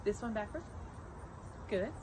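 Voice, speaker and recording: A woman's voice: medium pitch, expressive, unhurried, very noisy. A middle-aged woman giving a children's read-aloud and casual instruction, speaking to young listeners in simple, encouraging phrases. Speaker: teacher reading a picture book aloud to children.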